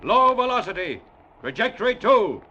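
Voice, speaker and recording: An actor playing a curt Martian squad officer giving clipped military orders, on a noisy old radio drama recording. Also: male voice, sits high, very animated, normal speed, highs cut off. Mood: stern, commanding.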